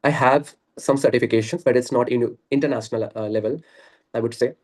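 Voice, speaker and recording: A man's voice: mid-range voice, steady tone, fairly quick, a little mellow. A man talking earnestly and at length on a video call, laughing often. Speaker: guest. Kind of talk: casual conversation practice.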